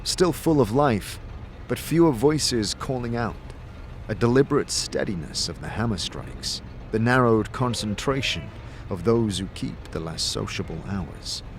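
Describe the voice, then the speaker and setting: A man reading scripted fantasy audio drama narration in a measured, atmospheric storytelling manner. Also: on the low side, lively intonation, slight background noise.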